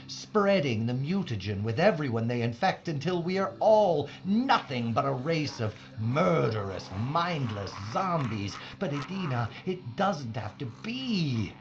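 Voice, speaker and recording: A man playing an obsessed, pleading mad scientist in a sci-fi audio drama, with a dramatic, grandiose delivery. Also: medium pitch. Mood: grave, rising. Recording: dull, muffled audio drama recording.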